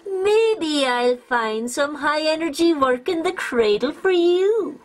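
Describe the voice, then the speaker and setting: Storybook villain: a wicked banshee, high, piercing and theatrical, gloating menace, music underneath. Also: female voice, animated, slow pace. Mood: mocking menace.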